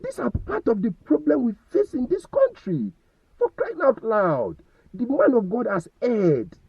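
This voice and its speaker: A man's voice: extremely high pitch, sing-song, unhurried, breathy edge, dry recording, muffled recording. A Nigerian man giving impassioned opinion commentary, firing rhetorical questions and stumbling over repeated words.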